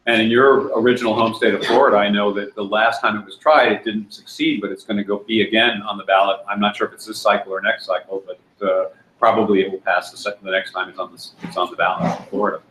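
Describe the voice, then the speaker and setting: A man's voice: lowish voice, level tone, fairly bright tone. Older man, candidate at a meetup, hesitant speech, faint background noise. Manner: halting, many restarts and fillers. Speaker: candidate answering questions. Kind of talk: panel discussion. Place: restaurant room with brick walls. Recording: echoey room, faint noise.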